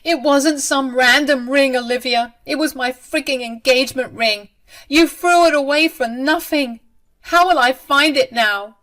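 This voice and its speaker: A woman's voice: high voice, unhurried, a bit bright, pitched above the performer's own voice. Woman acting a distraught, indignant bride-to-be. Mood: upset, indignant. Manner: pleading, indignant. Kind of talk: dramatized storytelling.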